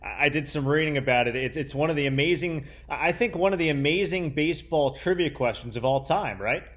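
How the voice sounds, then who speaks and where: A man's voice: fairly high pitch, a little fast, fairly bright tone. A young man hosting a conversational sports interview. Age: young adult. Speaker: interview host, sports broadcaster.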